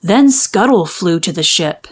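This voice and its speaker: A woman narrating a children's storybook in an expressive, measured storytelling manner. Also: lowish voice, husky. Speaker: children's book read-aloud narrator.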